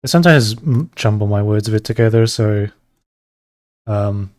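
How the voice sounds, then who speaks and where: A man streaming while he draws, chatting casually with viewers and rambling between pauses. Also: fairly low pitch, no echo, studio quality. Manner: relaxed, rambling, talks to chat between pauses. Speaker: streamer drawing live. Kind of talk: casual chat while drawing.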